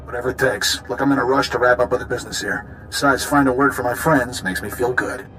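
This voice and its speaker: An actor playing a slick, smug space criminal in a video game, glib and falsely friendly. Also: male voice, medium pitch, steady tone, quick pace, pushed and bright, a little hiss or hum, rough recording. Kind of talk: video game villain acting.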